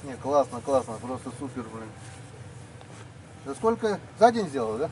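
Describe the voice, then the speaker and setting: A man's voice: mid-range voice, sing-song, normal speed. Man chatting casually, faint outdoor noise. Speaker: vlog host visiting a craftsman. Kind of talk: casual chat. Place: outdoors at a village garden plot.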